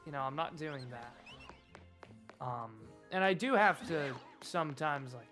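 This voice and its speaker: A slow, deliberate American man with a slightly raised pitch, hosting a gaming stream in casual conversation. He enunciates each consonant with care and peppers his speech with "like", while music plays behind him. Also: animated, bright, forceful tone. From United States. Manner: deliberate enunciation, frequent "like" fillers. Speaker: streamer host.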